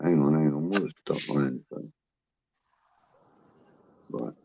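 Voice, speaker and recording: A man hosting a casual fan show, reading out viewer comments in a relaxed way between jokes. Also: rumbling low, slow. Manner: relaxed, reads out chat messages between jokes. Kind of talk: casual chat.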